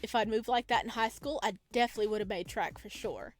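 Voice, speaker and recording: A woman hosting a gaming video, reading visual novel text aloud and commenting in an expressive, chatty way. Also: fairly high pitch, quick pace. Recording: music behind the voice. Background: background music.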